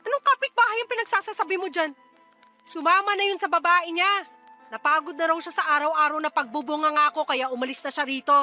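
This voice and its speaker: A woman's voice: very high voice, quick pace, sharp tone, faint music behind, heavily acted. A woman playing a bitter, exhausted mother who scolds and nags sarcastically in a radio-style drama.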